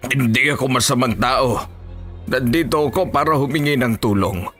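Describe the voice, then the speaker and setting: A man's voice: medium pitch, moderate pace, a bit bright, lightly acted. A man with a Filipino accent playing a grieving, resolute monster hunter in a horror audio story. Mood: pleading. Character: grieving, defiant male aswang hunter. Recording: faint music behind the voice.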